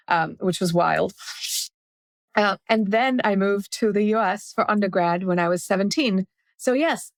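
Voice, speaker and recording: Gravelly woman in her forties from India. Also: fairly low pitch, no echo. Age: 40s. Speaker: guest. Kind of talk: casual interview conversation.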